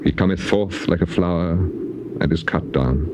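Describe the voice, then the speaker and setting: An actor playing a suffering, defiant righteous man in a dramatized scripture recording, declaiming with passion and weighty pauses. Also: sits low. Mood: mournful, resigned. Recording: dull, noisy old cassette tape recording.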